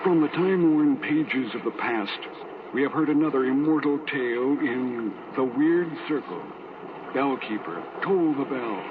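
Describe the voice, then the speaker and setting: A man's voice: medium pitch, expressive, a little slow, lightly acted. Tinny, distant ominous male narrator played by an actor. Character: ominous, theatrical narrator of eerie old tales. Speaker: announcer. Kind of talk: 1940s radio announcing. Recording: distant, tinny, very noisy old radio recording.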